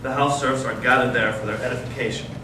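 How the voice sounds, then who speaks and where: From a distant mic in an echoey space comes a man acting as an intense intellectual older brother. He delivers readers theater dramatically, moving between hushed narration and anguished, mocking passion at a medium pitch. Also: steady tone, quick pace, natural voice. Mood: grim, intense. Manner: dramatic, swinging from hushed to impassioned. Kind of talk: staged readers theater monologue and storytelling. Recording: echoey stage, distant mic, clear noise.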